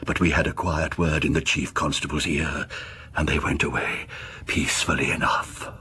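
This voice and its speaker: An older man acting a courteous, sinister, ancient grandfather in a radio horror drama, speaking formally with quiet insistence. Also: extremely low pitch, fairly even intonation, normal speed, crowd noise. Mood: courteous, urbane.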